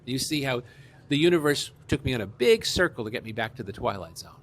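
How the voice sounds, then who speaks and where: A man's voice: medium pitch, big pitch swings, quick pace. Older male author telling anecdotes in an interview. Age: middle-aged or older. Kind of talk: conversational interview, anecdotal storytelling.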